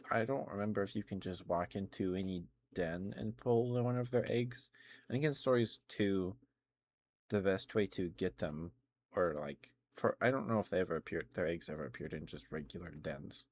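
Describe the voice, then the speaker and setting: A man live streaming casual, rambling ASMR chat about games, often hesitating and correcting himself. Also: low-pitched.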